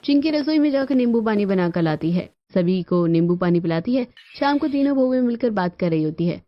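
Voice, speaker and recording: A woman's voice: mid-range voice, animated, brisk, dull audio. A woman narrating a scripted story as a storyteller. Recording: band-limited audio with music behind.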